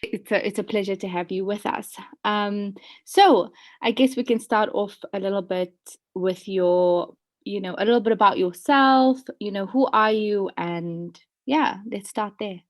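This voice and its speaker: Woman magazine interviewer, polite, halting questions padded with fillers. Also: medium pitch. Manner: polite, hesitant questions with "you know" and "um".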